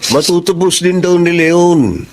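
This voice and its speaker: An actor with a Filipino accent playing a genial, soft-hearted middle-aged husband in a radio drama. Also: male voice, high voice, measured pace. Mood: sheepish, defensive.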